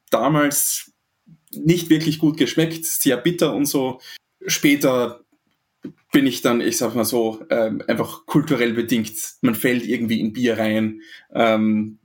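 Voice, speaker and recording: A man's voice: mid-range voice, vocal fry. A man from Austria, in his thirties, speaking as a podcast guest, hesitant with many fillers and restarts. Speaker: interview guest. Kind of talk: podcast interview.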